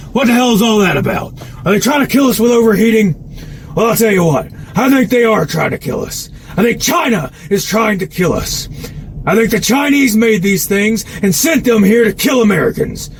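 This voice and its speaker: An actor playing an angry, blunt conspiracy commentator delivering an emphatic political rant in an audio drama. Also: male voice, high-pitched, lively intonation, brisk, a little hiss or hum. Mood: furious. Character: furious, ranting male conspiracy commentator.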